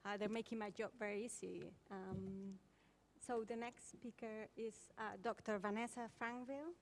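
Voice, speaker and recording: A woman's voice: medium pitch, fairly even intonation, normal speed, slight background noise. A woman chairing a symposium panel, thanking one speaker and introducing the next in an orderly way.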